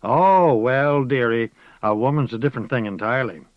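Man doing old earthy witch woman, folksy rustic speech, high and singsong, dull lo-fi dry recording. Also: normal speed, no echo, natural voice. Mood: chanting, mystical. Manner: folksy rustic speech, calls people dearie; rocking, chanting incantation. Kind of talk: audiobook character voice.

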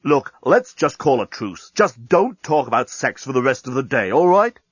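A man's voice: mid-range voice, big pitch swings, moderate pace, slightly rough, no room sound, lo-fi sound. A man voicing a jealous, exasperated human man in an audiobook, speaking in a terse, indignant manner. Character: jealous, exasperated human man, Lucy's long-time boyfriend.

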